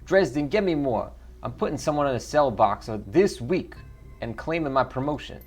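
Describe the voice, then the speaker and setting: A man's voice: medium pitch, lively intonation, moderate pace, darkish tone, soft background music, voice pushed up. A man voicing a brash, bullying, crooked ork homicide detective in a video game. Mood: demanding. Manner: blustering, bullying.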